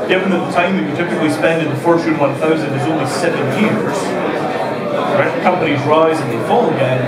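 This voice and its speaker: Middle-aged man speaking to a crowd in a roomy hall. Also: a little high. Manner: emphatic, rhetorical, lists in repeated phrases. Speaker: conference speaker. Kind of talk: public talk to an audience. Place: dim event room with projector screen and seated audience. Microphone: handheld mic, recorded by a far camera. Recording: roomy hall audio from far off, crowd present.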